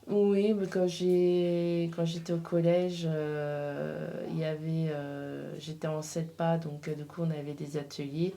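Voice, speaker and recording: A woman interview guest with a low, soft-edged, mellow voice. She speaks slowly and hesitantly, searching for words as she answers in a casual way. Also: flat delivery. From Portugal. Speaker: interview guest, freelance embroiderer.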